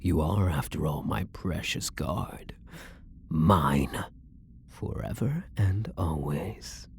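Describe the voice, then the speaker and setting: A man's voice: extremely low pitch, animated, takes it slowly, a little hiss or hum, full character voice. A man playing a possessive, lovestruck elf prisoner in an intimate roleplay, teasing and theatrical, with doting endearments. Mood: possessive, menacing. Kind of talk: intimate ASMR roleplay acting.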